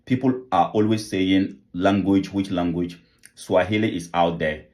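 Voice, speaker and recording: A man's voice: low-pitched, steady tone, unhurried, darkish tone. A man hosting an online channel, talking earnestly to viewers with repeated rhetorical questions. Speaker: channel host. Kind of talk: vlog commentary, appeal to viewers.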